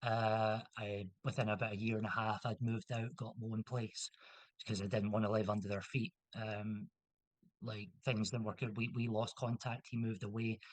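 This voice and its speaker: A man's voice: on the low side, flat delivery, moderate pace, no echo. Rambling young man, dry close sound. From Scotland. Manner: rambling, many restarts and fillers. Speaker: interview guest. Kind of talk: casual conversational interview.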